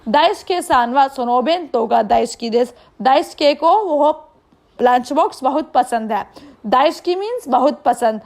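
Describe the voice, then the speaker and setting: Female language tutor, Indian accent, high voice, measured pace, explains step by step with check questions. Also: lively intonation, darkish tone. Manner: didactic, asking questions and answering them. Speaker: language teacher. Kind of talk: explanatory lesson with translations.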